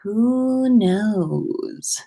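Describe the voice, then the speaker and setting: Slow-paced, tired-sounding American woman. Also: mid-range voice, lively intonation, warm tone. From United States. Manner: informal, rambling, playful with sing-song asides. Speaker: podcast host livestreaming. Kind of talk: casual livestream chat. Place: own room at home.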